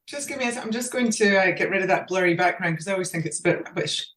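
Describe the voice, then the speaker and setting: A woman in her forties with a Scottish accent touched by Australia, talking warmly over a video call from her sitting room and laughing easily. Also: mid-range voice, rapid-fire. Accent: Scottish, with some Australian influence. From Scotland. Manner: warm, laughs easily, talkative. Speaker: nutrition scientist guest. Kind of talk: casual interview chat over video call. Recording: roomy video call audio.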